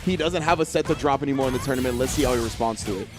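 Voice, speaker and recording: A man giving live color commentary on a fighting game match, measured and analytical with casual slang. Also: mid-range voice, over music.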